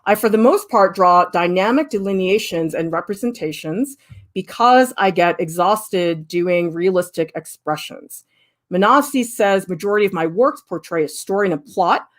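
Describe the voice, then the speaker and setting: A middle-aged woman art professor giving a candid, lively livestream critique and answering viewers' questions. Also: mid-range voice, moderate pace. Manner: candid, lively, emphatic, with frequent "I mean".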